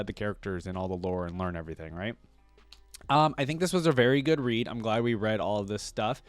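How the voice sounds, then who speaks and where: Male reaction host, medium pitch, chatty read-and-comment style with questioning asides, very little room sound. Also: no room sound. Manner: conversational, thinking aloud with questions and asides. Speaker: host of a fan reaction video. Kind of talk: casual commentary mixed with reading aloud.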